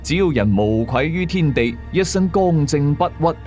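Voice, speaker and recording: A man's voice: on the high side, animated, normal speed, a little mellow, natural voice. A man playing a veteran exorcist who narrates his ghost cases in Hong Kong Cantonese, explaining and moralizing to listeners in a radio horror story. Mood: matter-of-fact, assured. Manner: explanatory, conversational asides to listeners. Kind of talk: scripted first-person horror storytelling. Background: music.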